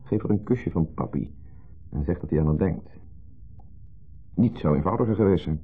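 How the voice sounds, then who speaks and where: A low, gravelly, insecure husband in a vintage radio drama. He broods and needles in hesitant, fretful questions. The sound is muffled and narrow, like an old broadcast. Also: animated, normal speed, exaggerated voice. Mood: bitter, needling. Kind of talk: 1970s Dutch radio play acting. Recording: muffled narrow-band 1970s radio recording.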